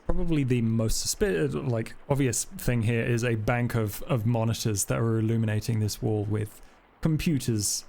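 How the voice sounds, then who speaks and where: A man's voice: medium pitch, normal speed, vocal fry, darkish tone. A man acting as game master, improvising narration for a tabletop role-playing game.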